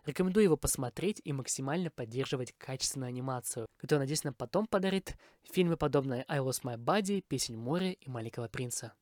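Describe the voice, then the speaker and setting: A man's voice: mid-range voice, lively intonation, quick pace, few pauses, a little mellow. A man from Kazakhstan hosting a podcast, delivering a scripted review monologue. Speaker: podcast host.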